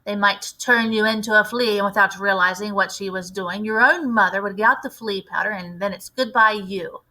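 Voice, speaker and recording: A woman voicing a firm, grimly knowing elderly grandmother in a storybook, with measured, grave delivery. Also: mid-range voice, sharp tone, natural voice, low-quality recording. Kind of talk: storybook read-aloud character voice.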